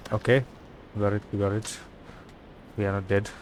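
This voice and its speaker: A man with a fairly low voice streams a game, talking casually through his thoughts as he plays. His commentary is informal and half to himself. There is clear background noise with clicks behind him. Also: little pitch movement, normal speed, darkish tone. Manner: casual, muttering thoughts aloud. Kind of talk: live gameplay commentary.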